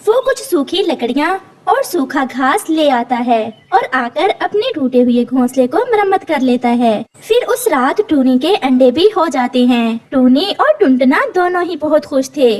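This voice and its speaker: High-pitched woman narrating a children's story. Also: animated, measured pace, few pauses, a little mellow.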